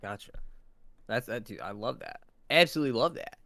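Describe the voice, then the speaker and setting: A man's voice: mid-range voice, animated, brisk, vocal fry, bright, forceful tone, dry recording, clean studio sound. A man co-hosting a casual review discussion, explaining his points and often checking in with the listener. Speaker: co-host asking questions.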